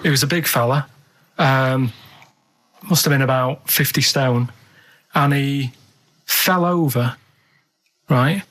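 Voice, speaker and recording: A man's voice: medium pitch, slow pace, pauses often, fairly bright tone. A man telling rambling anecdotes on the radio, earnest, matter-of-fact and hesitant. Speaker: radio show regular. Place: radio studio.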